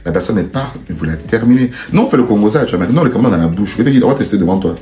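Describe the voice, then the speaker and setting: A man from Cameroon chatting casually with his wife as co-host, talking fast with lots of restarts. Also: fairly low pitch. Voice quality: fast and animated, with many restarts. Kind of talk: casual couple chat. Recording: echoey room.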